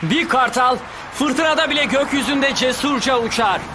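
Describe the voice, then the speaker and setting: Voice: high-pitched, normal speed, heavy background noise, lightly acted. An actor playing a cool, confident teenage boy in a cartoon dub, proud, taunting and dramatic. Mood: fierce, triumphant. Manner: dramatic, self-assured, declaiming. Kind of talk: Turkish cartoon dub acting.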